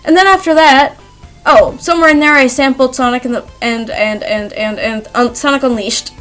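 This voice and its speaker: A young adult woman chatting casually in a giggly, animated, self-deprecating way, as if telling stories to viewers. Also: high-pitched, normal speed. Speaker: YouTube artist telling personal stories. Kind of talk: casual rambling chat over speedpaint. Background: background music.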